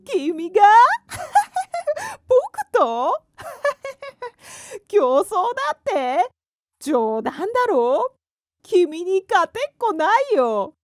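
A woman's voice: very high voice, big pitch swings, extremely slow, long pauses, dry recording, studio quality, voice pushed up, exaggerated voice. A woman voicing a cocky, mocking male hare in a children's fairy tale, scoffing and boastful.

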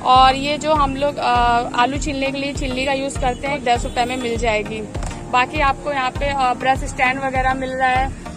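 High-pitched young woman vlogging. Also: little pitch movement, moderate pace. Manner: explanatory, addressing viewers directly. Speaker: market vlogger. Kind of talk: casual shopping tour commentary. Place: outdoor street market. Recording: music over outdoor street recording. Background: music, traffic.

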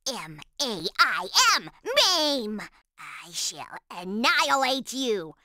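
Evil girl, very slow chanting threats, high bright voice, cold menace, video game villain. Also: no room sound, clean studio sound, heavily acted.

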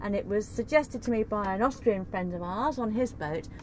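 A woman's voice: mid-range voice, normal speed, a little mellow, slight background noise. Bubbly woman praising kitchen gear. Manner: bubbly, emphatic. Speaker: sailing vlog co-host. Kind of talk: casual chat presenting gear. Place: cockpit of a sailboat at anchor, outdoors.

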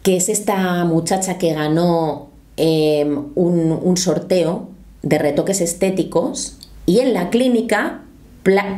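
A woman's voice: on the low side, darkish tone. Earnest woman commenting to camera. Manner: earnest, explanatory, gestures with her hands. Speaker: youtuber commentator. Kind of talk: casual commentary to camera, reading quotes aloud. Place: small home studio room.

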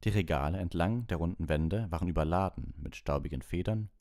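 Deep-voiced man narrating, dry close sound. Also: brisk, darkish tone, no echo. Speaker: audiobook narrator. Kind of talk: scripted literary narration.

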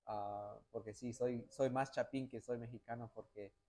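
Halting young man, restarts, English slipped in. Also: medium pitch, steady tone, a little mellow. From United States. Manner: halting, many restarts and "me entiendes" tags, mixes in English words. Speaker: national team footballer, interview guest. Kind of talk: casual interview answers. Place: hotel lounge sofa, indoors.

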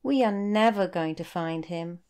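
A British woman voicing a small, earnest male puffin in a picture book, slow and emphatic. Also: lowish voice, a little mellow, clear, resonant tone. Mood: insistent, forlorn.

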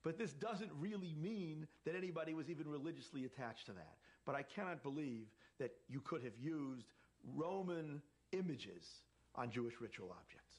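A man's voice: on the high side, lively intonation, normal speed. A man, a scholar being interviewed, making skeptical, conversational points with wry asides. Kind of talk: interview commentary.

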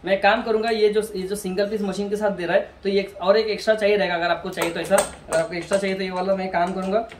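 A man in his thirties gives a casual, hands-on product demonstration. His voice sits a little high and he often repeats himself as he works. He is picked up from some distance on an echoey porch, with clear background noise. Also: fairly even intonation, quick pace, few pauses. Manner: informal, hands-on, repeating himself while handling items. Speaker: product reviewer. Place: outdoor porch by house steps. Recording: roomy, distant mic, clearly noisy, clicks.

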